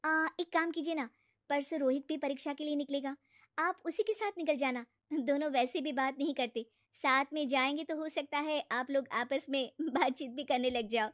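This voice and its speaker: A woman's voice: high voice, fairly even intonation, moderate pace, a bit bright, no echo, studio quality. A woman voicing a young married woman, a protective sister and coaxing wife, in a family story. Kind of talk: animated family story character acting.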